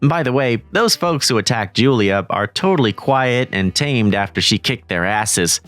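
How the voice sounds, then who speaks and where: An actor playing an earnest, eager teenage schoolboy who narrates and leads a manga voice-over. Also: male voice, mid-range voice, animated, moderate pace, a bit bright, faint music behind, lightly acted. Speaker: narrator and lead.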